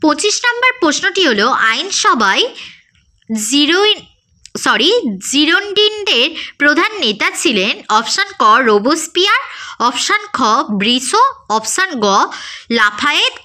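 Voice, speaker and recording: A woman's voice: high voice, sharp tone. A woman teacher reading out quiz questions and options in a methodical, scripted lesson style with a sing-song listing intonation. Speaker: teacher presenting exam questions.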